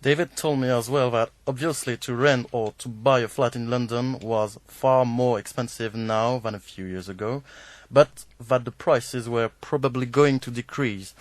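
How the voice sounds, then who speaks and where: A man's voice: mid-range voice, steady tone, moderate pace, few pauses, no room sound. A man with French as his first language, reading a scripted radio report.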